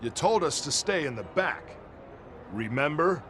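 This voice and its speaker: A man's voice: on the high side, very animated, unhurried, a bit bright, slight background noise. A man playing a stern, commanding veteran wrestler who leads a faction, blunt and authoritative, in a video game cutscene. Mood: scornful. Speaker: wrestler, leader of a stable.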